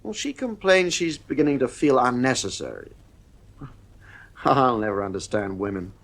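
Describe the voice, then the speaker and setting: Easygoing husband, dismissive father, lively swings in pitch, medium register, vintage radio play. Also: moderate pace. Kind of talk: 1950s radio drama acting. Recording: vintage radio broadcast recording.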